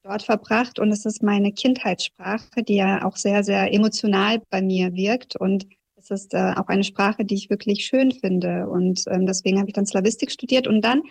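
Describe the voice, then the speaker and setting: A woman in her thirties, a journalist from Kazakhstan who grew up in southwest Germany, giving a reflective, measured personal account in an interview. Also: mid-range voice, steady tone, vocal fry, a little mellow. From Kazakhstan, raised in southwest Germany.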